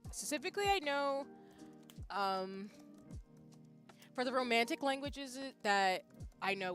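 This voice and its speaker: A woman's voice: medium pitch, fairly bright tone. A young woman streamer chatting casually with her viewers, thinking aloud with frequent restarts. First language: English. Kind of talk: casual chat reading viewer comments.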